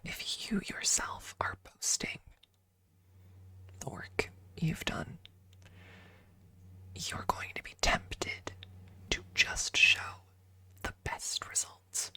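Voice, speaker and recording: A woman ASMR streamer speaking in a breathy up-close whisper, softly and deliberately telling casual stories to viewers right at the microphone. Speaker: ASMR livestreamer.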